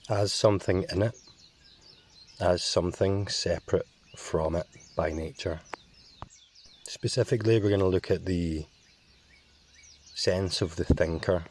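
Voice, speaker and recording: A man leads a guided meditation in a calm, measured voice and leaves long pauses between phrases. His voice is deep and steady and moves very little, and he speaks very slowly. Faint birdsong sits quietly behind him. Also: a little hiss or hum.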